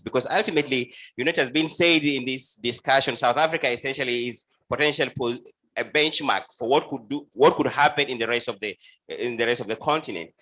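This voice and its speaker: A man from Uganda leads a panel discussion in a bright, forceful voice. He stumbles and repeats words as he hands over to the next speaker. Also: medium pitch. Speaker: panel moderator.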